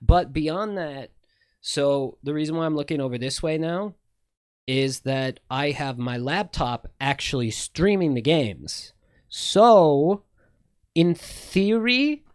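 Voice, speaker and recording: A man's voice: mid-range voice, no room sound, studio quality. A man with a slight lisp, chatting casually to his stream viewers in a rambling, profane, self-correcting way. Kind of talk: casual livestream chat.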